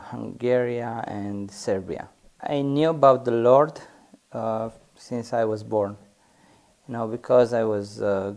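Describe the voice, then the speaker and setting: A man's voice: mid-range voice, gravelly, darkish tone. A man with a Romanian accent telling his testimony hesitantly, with many fillers. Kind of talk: personal testimony.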